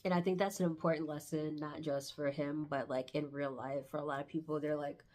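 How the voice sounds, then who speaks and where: Low-pitched, stuffy-nosed woman vlogger. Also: steady tone, brisk, darkish tone. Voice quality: stuffy, congested nose. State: congested from hay fever. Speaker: book reviewer vlogger. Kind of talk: casual book review chat, with passages read aloud.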